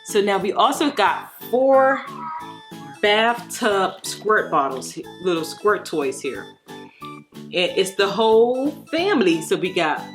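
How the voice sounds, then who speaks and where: A woman presents a casual toy review at an unhurried pace. She explains each thing simply, as if showing it to a child as well as to viewers. Clear music plays behind her voice. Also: mid-range voice, very animated. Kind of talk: casual unboxing and toy demonstration.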